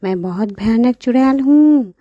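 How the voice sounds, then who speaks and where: Cackling old witch granny played by a woman, high voice, boastful, menacing, drawn-out threats, children's cartoon, close dry sound. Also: expressive, no room sound, lo-fi sound. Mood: boastful, indignant.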